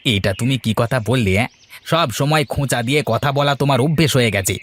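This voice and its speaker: A man's voice: mid-range voice, a little fast, a bit bright, a little hiss or hum, close to an everyday voice. A man playing a weary, chatty village farmer in a story, colloquial and full of exclamations. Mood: exasperated. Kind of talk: acted village story dialogue.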